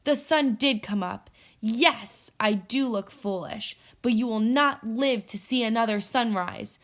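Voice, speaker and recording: A big, grumpy storybook bear as a woman acts him out, menacing and deliberate. He growls his words like threats. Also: fairly high pitch, fairly bright tone. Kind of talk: storybook character voice.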